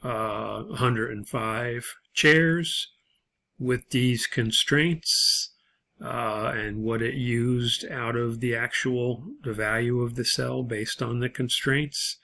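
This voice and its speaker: A man narrating an unscripted, step-by-step spreadsheet tutorial as an instructor, in a halting way. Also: medium pitch, fairly even intonation, gravelly, sharp tone.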